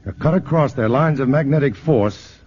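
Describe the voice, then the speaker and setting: An actor playing a calm male scientist hero who explains things plainly, in a 1950s radio drama. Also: medium pitch, normal speed, a little hiss or hum, close to an everyday voice. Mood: tense. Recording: dull old radio broadcast with music.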